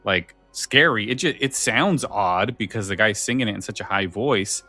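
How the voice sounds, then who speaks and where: A man's voice: on the low side, moderate pace, bright, forceful tone, dry recording, faint music behind. A man co-hosting a podcast in casual, conversational chat. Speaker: podcast co-host.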